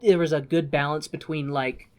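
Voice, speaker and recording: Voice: mid-range voice, steady tone, moderate pace. Casual podcast co-host chatting. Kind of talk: casual chat recapping a film.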